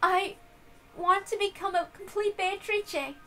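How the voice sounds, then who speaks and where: A woman's voice: extremely high pitch, unhurried, bright, forceful tone, voice pushed up, heavily acted. A woman voicing a timid, earnest newborn witch with a hesitant, polite manner in a visual novel reading. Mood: nervous, polite.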